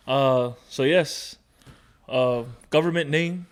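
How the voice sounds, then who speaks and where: A man's voice: medium pitch, slow pace, clear, resonant tone. A man from Hawaii, probably in his thirties, talking as a podcast guest in an easygoing, rambling storytelling way. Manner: relaxed, storytelling with fillers. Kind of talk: casual conversation.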